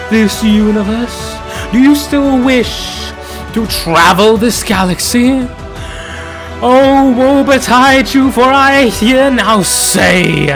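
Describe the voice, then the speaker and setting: A man delivering dramatic scripted lore narration, grave and portentous, in archaic oratorical phrasing with dramatic pauses. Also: high voice, gravelly. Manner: grave, theatrical, portentous, addressing the listener as traveler. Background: background music.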